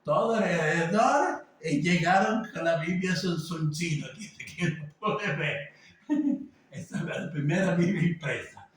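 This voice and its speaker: A man's voice: a little high, expressive, measured pace. Rambling male teacher in an echoey room. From Venezuela. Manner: rambling, hesitant, many fillers and tag questions. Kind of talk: informal lecture. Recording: echoey room, voice from a distance, faint background noise.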